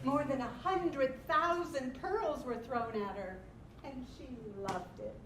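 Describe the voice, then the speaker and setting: A woman's voice: high voice, slow, reverberant, heavy background noise. A woman reading narration in a dramatized literary storytelling performance. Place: live staged reading in a room.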